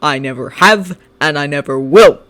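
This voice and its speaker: A narrator voicing a cold, resentful teenage boy who speaks low and seething and then shouts accusations. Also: normal speed, natural voice.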